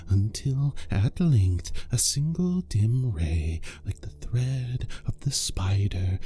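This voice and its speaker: A man playing an unhinged, obsessive murderer who narrates a gothic horror tale with menacing, deliberate, drawn-out phrasing. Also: lowish voice, a little mellow.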